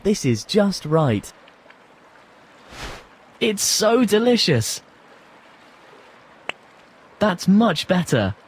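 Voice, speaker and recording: A man voicing a young, polite, sad duckling in a children's storybook, with earnest, simple, expressive delivery. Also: high-pitched, drawn-out words, long pauses, slight background noise, heavily acted. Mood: hungry, relieved. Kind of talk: children's storybook app voice acting.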